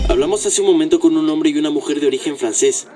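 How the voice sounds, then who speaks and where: A man's voice: fairly high pitch, quick pace, over music, natural voice. A man acting a television news reporter who puts insistent, pointed questions in a dramatized broadcast interview. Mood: probing.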